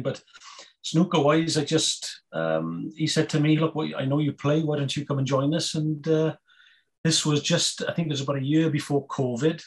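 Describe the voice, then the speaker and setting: A Welsh man in his fifties, a guest on a podcast, talking casually with many pauses, fillers and restarts. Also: medium pitch. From Wales. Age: 50s. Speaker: guest, snooker player and federation chairman.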